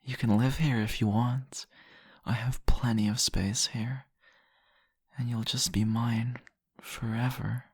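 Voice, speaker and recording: A man playing a seductive, possessive vampire in an intimate ASMR roleplay, teasing and murmuring deliberately in a husky, gravelly voice. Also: medium pitch, flat delivery, dry recording, clean studio sound.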